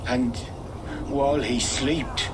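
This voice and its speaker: An actor playing a simple-minded male gravedigger who talks in a halting, childlike way about himself in the third person. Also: medium pitch, fairly even intonation, heavy background noise, lightly acted. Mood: eerie. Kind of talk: video game cutscene.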